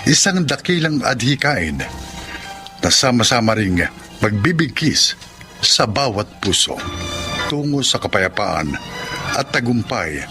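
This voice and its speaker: A male radio drama announcer with a solemn, formal delivery. He takes his time and swings his pitch expressively, while loud music plays behind him. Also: very animated, measured pace, long pauses. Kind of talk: scripted radio drama narration.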